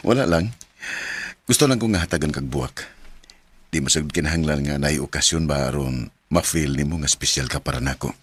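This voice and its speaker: A man's voice: a bass voice, normal speed, long pauses, a bit bright, husky, exaggerated voice. An actor playing an earnest, lovestruck young man in a radio drama. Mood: tender.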